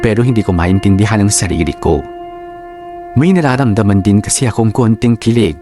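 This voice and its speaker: Man reading as a wistful confessor, low voice, sentimental radio drama narration, music clearly behind. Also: a little fast, warm tone, lightly acted. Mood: wistful, conflicted. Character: reflective narrator confessing a conflicted love. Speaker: storyteller. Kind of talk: dramatic scripted letter narration.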